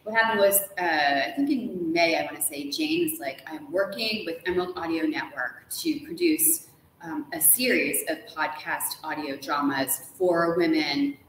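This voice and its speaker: A woman's voice: fairly low pitch, lively intonation, moderate pace. A woman in her forties, an enthusiastic interview guest, telling stories casually with a playfully dramatic flair. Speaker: guest author. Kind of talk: casual animated storytelling in a live interview. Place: large ornate hotel room, indoors. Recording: echoey room with faint background noise.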